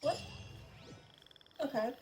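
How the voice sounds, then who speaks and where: A woman streamer chatting casually while gaming, excitable and rambling, with a giggly manner. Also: extremely slow, slight background noise. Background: faint game music.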